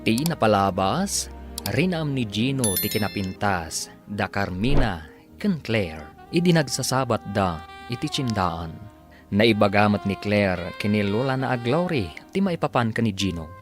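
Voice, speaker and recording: A man's voice: fairly low pitch, lively intonation, unhurried, soft background music. A man with an Ilocano accent giving scripted, dramatic narration as the announcer of a radio drama.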